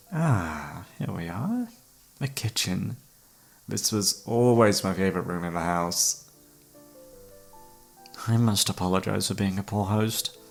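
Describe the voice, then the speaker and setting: A man's voice: on the low side, creaky. A man acting an obsessive, flirtatious serial killer who holds a detective captive, speaking with teasing mock courtesy in an ASMR roleplay. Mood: genial host. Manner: teasing, mock-polite, theatrical, savouring words. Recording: background music under the voice.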